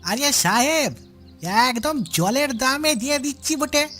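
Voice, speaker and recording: A man's voice: very high-pitched, expressive, slow pace, sharp tone, faint music behind, heavily acted. A man voicing a rustic cartoon house seller who wheedles in a folksy way and pleads poverty. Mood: wheedling.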